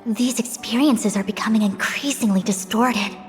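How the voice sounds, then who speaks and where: A woman's voice: fairly high pitch, close to an everyday voice. A woman voicing a composed, haughty young seer in a video game, speaking formally with dry authority. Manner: formal, measured, dryly imperious. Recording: long hall reverb, game music behind. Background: game music.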